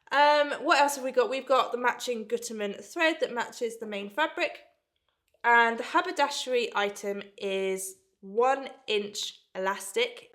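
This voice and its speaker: A woman's voice: medium pitch, a bit bright. A woman in her thirties, stuffed up with a cold, chatting enthusiastically as she unboxes a sewing subscription box. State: blocked nose from a cold. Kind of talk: casual unboxing vlog.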